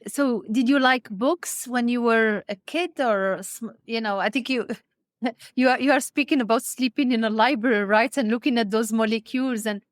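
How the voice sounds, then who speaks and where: A woman from Morocco hosting a podcast, interviewing conversationally in a halting, discursive and affirming way. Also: a little high, no echo, studio quality. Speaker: podcast host.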